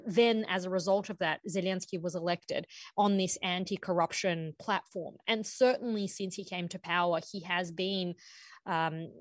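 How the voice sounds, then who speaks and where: A woman from Ukraine giving an articulate, measured explanatory answer as an interviewed analyst, stressing her key points. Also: lowish voice, dry recording. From born in Ukraine.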